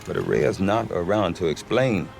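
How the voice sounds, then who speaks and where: An actor playing a cynical, grumbling frontier trader in a game, with a sardonic, colloquial way of talking. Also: male voice, sits low, very animated, normal speed, creaky, darkish tone, faint music behind, full character voice. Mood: sardonic, dismissive. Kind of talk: conversational game dialogue.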